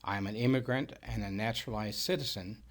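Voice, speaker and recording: A man's voice: lowish voice, fast talker. A middle-aged man from Denmark with a Danish accent, a native Danish speaker, delivering a scripted sales presentation. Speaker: business owner and presenter.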